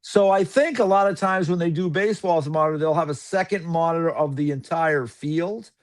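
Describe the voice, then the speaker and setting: A man who calls hockey games, chatting casually on a podcast in a rambling, filler-heavy, animated way. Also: on the high side, fairly quick. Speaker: hockey broadcaster guest.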